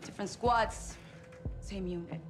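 An actress playing a cool, defiant ex-military cartel boss in a video game, with a Mexican accent. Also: female voice, medium pitch, unhurried, lightly acted. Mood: smug. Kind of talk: cinematic video game acting. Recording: music behind the voice.

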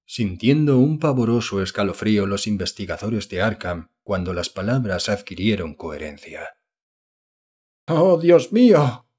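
A man narrates a scripted horror story in a fairly low voice. The tone is husky, and the delivery is solemn and literary. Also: normal speed, hardly any pauses, darkish tone. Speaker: audiobook narrator.

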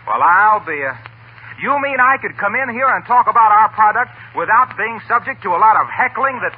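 Eager old-time radio announcer, high lively voice, tinny broadcast. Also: male voice, brisk, husky. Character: chipper, eager floor-wax pitchman in radio comedy. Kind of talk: 1940s radio advertising patter.

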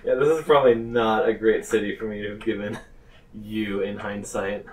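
A man narrating a tabletop game as game master, halting and pausing between phrases. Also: lowish voice, steady tone. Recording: distant microphone.

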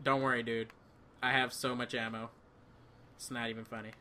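A man with a bright, forceful voice talks through a co-op video game. He speaks casually, with a joking, rambling manner, like a player talking to a teammate. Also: mid-range voice, level tone, normal speed, slight background noise. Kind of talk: casual co-op gameplay chat.

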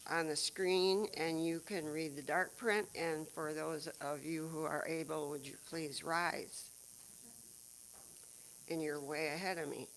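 An older woman with a low voice, speaking slowly as a lay worship leader. She addresses a congregation in a small church, with faint noise in the room. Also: fairly even intonation, low-quality recording. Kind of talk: reading call to worship to congregation. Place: small church sanctuary, at pulpit.

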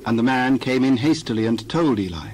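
A man reads scripture aloud at a measured, steady pace, voice in a middle register. There is a faint hum underneath. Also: mid-range voice, rough recording. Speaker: scripture reader. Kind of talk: dramatized scripture reading.

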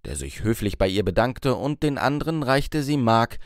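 A man's voice: mid-range voice, lively intonation, normal speed, no echo, clean studio sound. A man reading a scripted story as an audiobook narrator.

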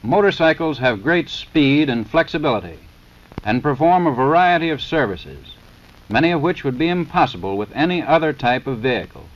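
An American man reading a formal 1930s scripted narration for a training film in a measured, matter-of-fact, instructional manner. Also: medium pitch, sing-song. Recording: dull, lo-fi 1930s film soundtrack.

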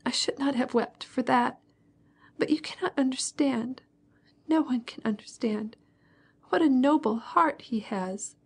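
A woman's voice: a little high, steady tone, unhurried, pauses often, a little mellow, breathy edge. A woman voicing a heartbroken tearful young girl.

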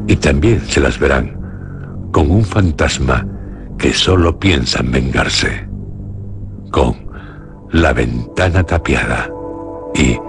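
A man with a Castilian Spanish accent presenting a radio horror show in a solemn, portentous, suspenseful scripted style, in short deliberate phrases. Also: rumbling low, lively intonation, creaky, over music.

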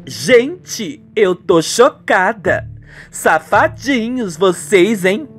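A man's voice: high voice, very animated, extremely slow, a bit bright, faint music behind, pitched above the performer's own voice, full character voice. A man voicing a melodramatic, exclamatory young woman in a radio soap. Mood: shocked, conspiring. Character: young woman, tender, scheming or gossipy, melodramatic.

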